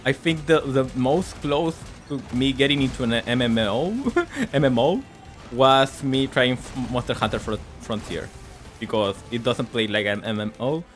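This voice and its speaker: A man's voice: mid-range voice, moderate pace, soft background music. A man with a Spanish accent whose first language is Spanish, chatting casually while streaming a game, laughing often and repeating words as he stumbles. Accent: Spanish-speaking. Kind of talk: casual gaming livestream chat.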